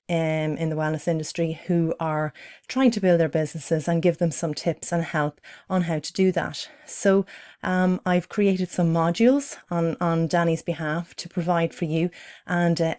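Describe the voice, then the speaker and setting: Low-voiced Irish woman coaching. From Ireland. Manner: steady, encouraging, uses fillers. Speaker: business coach. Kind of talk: presentation to camera.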